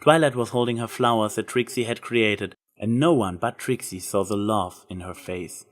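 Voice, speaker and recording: A man's voice: on the low side, normal speed. A man reading a story aloud as a scripted narrator. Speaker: narrator, fan fiction reader.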